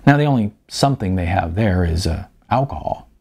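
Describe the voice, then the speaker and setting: Man acting a weary, gravelly fifty-ish writer. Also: deep voice, expressive, darkish tone, voice pushed down, heavily acted. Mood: sheepish, defensive. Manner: halting, rambling, sardonic. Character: weary, self-deprecating almost fifty-year-old male magazine writer, confessing.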